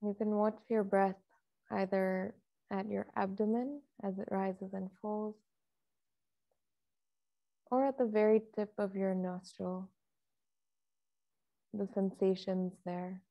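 A woman leading a guided meditation as a teacher, speaking in a calm, measured, softly instructive way with long pauses. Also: medium pitch, gravelly, highs cut off.

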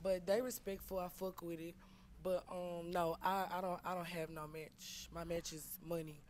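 A woman's voice: fairly low pitch, little pitch movement, normal speed, darkish tone, a little hiss or hum. Combative young woman on a dating show. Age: early 20s. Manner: combative, profane, sassy. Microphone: handheld mic.

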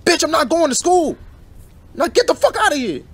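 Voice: sits high, sing-song, brisk. A performer voicing a grumpy, foul-mouthed cartoon character in a comic dub, irritated and threatening in slangy speech.

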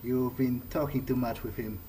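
A man's voice: mid-range voice, steady tone, normal speed, warm tone. A man improvising in character as he plays a video game, thinking aloud hesitantly. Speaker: gamer playing in character. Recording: clearly noisy, game ambience underneath. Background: video game ambience.

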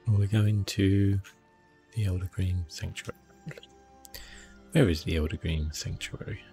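A man giving relaxed ASMR gameplay commentary, thinking aloud with hesitant phrasing. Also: sits low, a little slow. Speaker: gaming video host. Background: soft game music.

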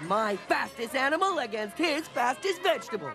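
A good-hearted, boastful cartoon jungle man, none too bright. His voice is high and bounces around with simple-minded conviction, with music clearly behind it. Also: big pitch swings, normal speed. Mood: boastful, defiant. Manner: simple-minded, speaks of himself in third person. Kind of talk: cartoon voice acting.

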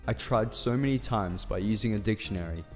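A man's voice: fairly low pitch, brisk, darkish tone, close to an everyday voice. A man playing an earnest, awkward scientist in love in a fan radio play, speaking formally and hesitantly. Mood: tender confession. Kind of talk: fan radio drama acting. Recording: reverberant hall sound with music underneath.

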